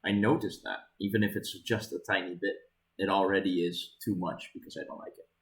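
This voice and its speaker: A man's voice: low voice, fairly even intonation, fairly quick, fairly bright tone. A man chatting casually with a friend on a stream, matter-of-fact and dry, with a few chuckles. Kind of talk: casual chat.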